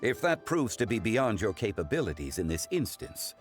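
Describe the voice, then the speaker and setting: A man voicing a calm, learned gentleman consultant in a video game, with measured, courteous, eloquent speech. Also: on the low side, faint music behind. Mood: firm.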